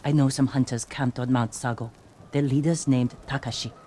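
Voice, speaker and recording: An actress playing a tough, loyal outlaw in a dramatic game cutscene, with a blunt, practical, direct way of talking. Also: female voice, extremely low pitch, fairly even intonation, moderate pace, warm tone, a little hiss or hum. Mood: determined. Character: tough, loyal, plain-spoken outlaw woman, fiercely practical.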